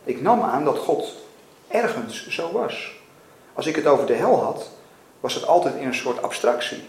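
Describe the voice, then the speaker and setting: A man reading the part of an anguished father from a novel, halting and emotional. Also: on the high side, big pitch swings. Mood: shocked, faltering. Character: anguished father, stammering, pleading, desperately refusing. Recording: echoey room with faint noise.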